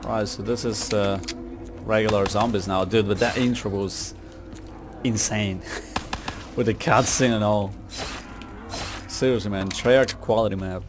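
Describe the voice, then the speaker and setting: A man's voice: fairly low pitch, steady tone, a little slow, pauses often. A young man giving casual gameplay commentary in slangy language, muttering to himself as he plays. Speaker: gamer, video creator. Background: video game music and sounds.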